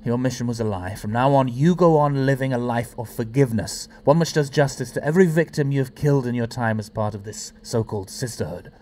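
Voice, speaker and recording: An actor playing a time-travelling alien adventurer in an audio drama, stern yet playful and awkward. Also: male voice, mid-range voice, fairly quick, few pauses, a little mellow, slight background noise, lightly acted. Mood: stern, grave.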